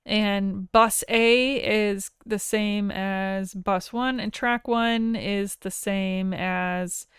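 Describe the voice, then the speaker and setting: Female tutorial instructor, informal and wry, crisp resonant tone, dry close recording. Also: mid-range voice, fairly even intonation, drawn-out words, hardly any pauses, a bit bright, no echo. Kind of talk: casual software tutorial narration.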